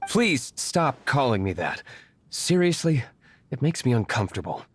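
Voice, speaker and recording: An actor playing an aloof, brooding young aristocratic vigilante in a video game, formal, curt and dry. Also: male voice, mid-range voice, big pitch swings, normal speed, husky. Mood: irritated. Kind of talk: video game character acting.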